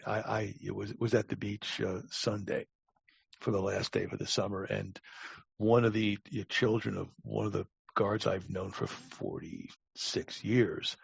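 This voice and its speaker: A man in his sixties with a gravelly voice, telling stories casually as a podcast guest in a halting, wry way with repeated words. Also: lowish voice, dry recording. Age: 60s. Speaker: podcast guest, longtime lifeguard. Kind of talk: casual interview storytelling. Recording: dull, lo-fi close recording.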